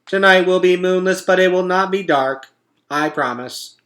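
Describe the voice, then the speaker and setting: A man's voice: high-pitched, moderate pace, fairly bright tone, voice pushed up. A man voicing a cheerful, polite female robot, speaking in a perky, formal announcing way. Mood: reassuring. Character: cheerful, polite female robot addressing her animal friends.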